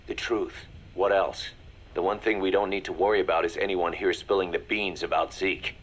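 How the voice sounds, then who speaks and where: A man's voice: sits low, little pitch movement, normal speed, low-quality recording. A man playing a shrewd, hard-nosed mercenary second-in-command, assured and businesslike, in a video game audio drama.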